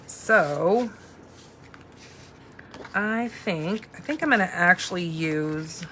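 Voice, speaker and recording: Bright-voiced, lower-pitched woman chatting while crafting. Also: extremely slow, a little hiss or hum. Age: 40s. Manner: chatty, thinking aloud. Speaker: crafting host and instructor. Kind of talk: casual live craft demo chat.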